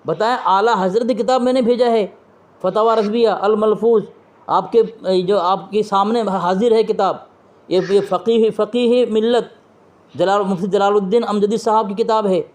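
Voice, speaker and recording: A man's voice: high voice, steady tone, moderate pace, darkish tone. A man interviewing and debating religion on a phone call, pressing his questions insistently and quoting from books. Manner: quotes from books and scripture.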